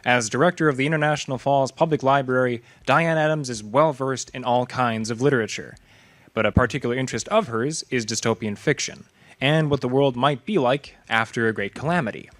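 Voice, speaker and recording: A man's voice: mid-range voice, fairly quick, fairly bright tone, no room sound. Male radio theater announcer, dry close sound. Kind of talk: scripted introduction, storytelling delivery.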